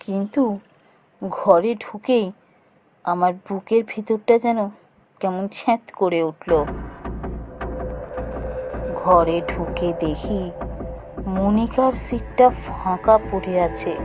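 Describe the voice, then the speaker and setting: A woman voicing an anxious young female office worker who tells her ghost story in suspenseful, drawn-out phrases. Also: mid-range voice, natural voice. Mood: suspenseful, fearful. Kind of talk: dramatic first-person horror narration for a cartoon. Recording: music under the voice.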